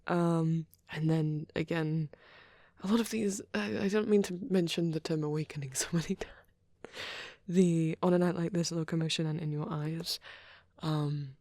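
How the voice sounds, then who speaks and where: A woman rambling softly in an ASMR style, with a shy, hesitant, breathy delivery that often slips into a half-whisper, close to the microphone. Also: low voice, fairly even intonation, moderate pace, no room sound. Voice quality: soft, breathy. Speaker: ASMR roleplay creator. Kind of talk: soft rambling ASMR chat.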